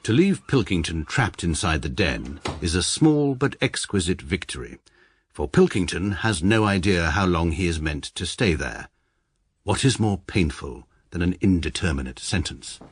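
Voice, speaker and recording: An actor playing a middle-aged lawyer narrating his own story in precise, deadpan radio-comedy style. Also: low-pitched, normal speed, breathy edge, dry recording. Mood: wry. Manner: precise, dry, pedantic. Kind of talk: dry deadpan first-person narration.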